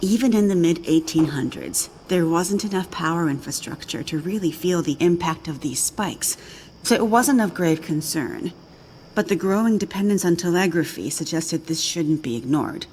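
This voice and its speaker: Low-voiced older woman scientist, played by an actress. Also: expressive, normal speed, a little mellow, a little hiss or hum, natural voice. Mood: solemn storytelling. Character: older woman scientist, brusque, intense, learned. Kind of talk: dramatic video game acting.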